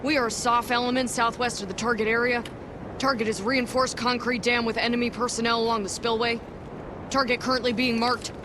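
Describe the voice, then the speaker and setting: Woman playing a tough female commando, crisp radio talk, mid-pitched, video game. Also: little pitch movement, brisk, noisy background, natural voice. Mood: formal. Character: tough female special forces soldier. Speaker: special forces soldier, radio operator. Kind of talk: video game cutscene acting. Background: game music.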